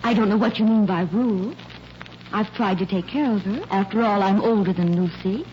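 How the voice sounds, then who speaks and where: An actress playing a cold, suspicious, controlling older sister in a radio mystery, clipped and icily polite. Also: female voice, medium pitch, moderate pace, lightly acted. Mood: icy, guarded. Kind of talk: 1940s radio mystery acting. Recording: muffled, faintly noisy vintage radio recording.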